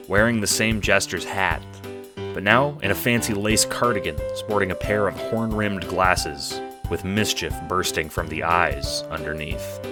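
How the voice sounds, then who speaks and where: A man narrating an audio drama in the second person, with dramatic storytelling delivery. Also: deep voice. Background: music.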